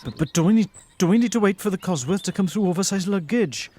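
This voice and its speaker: A man's voice: sits high, fast, dark tone, a little hiss or hum, lightly acted. A man playing an earnest, easily alarmed detective sidekick who stammers, in a comic audio drama. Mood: startled. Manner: stammering, flustered.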